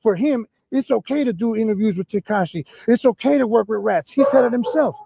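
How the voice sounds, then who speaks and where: A man's voice: sits high, expressive, a little fast, vocal fry, dark tone. A man making an insistent, repetitive point in casual argumentative conversation, heard on a noisy played-back recording.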